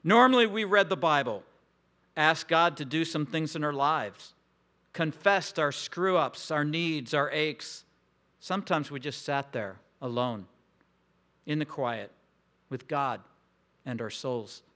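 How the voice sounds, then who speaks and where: A man preaching a sermon in a slow, reflective, intimate way with long pauses. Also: on the high side, pushed and bright. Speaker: pastor.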